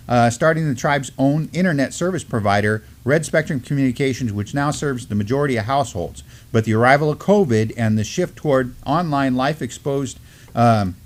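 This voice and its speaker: A middle-aged man co-hosting a studio news program, reading articles aloud with many fillers and restarts. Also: mid-range voice. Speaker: co-host. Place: studio with mottled backdrop.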